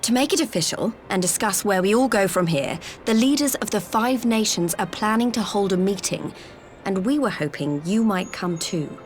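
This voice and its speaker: An actress playing an earnest, friendly young envoy in a fantasy video game, speaking in a plain, informal way. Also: female voice, mid-range voice, expressive, moderate pace, slight background noise, lightly acted. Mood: earnest, hopeful. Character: earnest, friendly young woman, rebel turned alliance envoy. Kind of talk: scripted fantasy game cutscene acting. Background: prominent orchestral game music.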